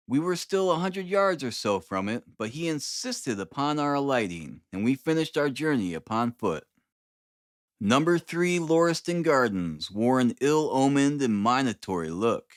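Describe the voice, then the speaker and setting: A man narrating a classic novel as an audiobook, expressive, with deliberate, dramatic phrasing. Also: mid-range voice, dry recording, clean studio sound.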